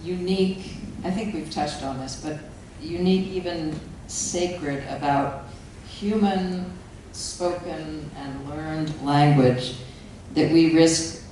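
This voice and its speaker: A moderator asking slow, measured questions at a panel, recorded from far off in an echoey hall. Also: medium pitch, warm tone, slight background noise. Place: large conference hall.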